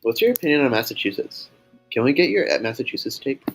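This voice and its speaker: A man's voice: medium pitch, sing-song, fast, breathy edge, low-quality recording. A man co-hosting a livestream in casual banter. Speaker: livestream co-host. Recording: music playing behind the voice.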